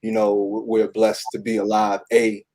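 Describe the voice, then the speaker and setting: A man speaking casually as an interview guest, halting and repeating words as he gathers his thoughts. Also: lowish voice, completely flat delivery, mellow. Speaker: interview guest, cast member. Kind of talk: casual conversation.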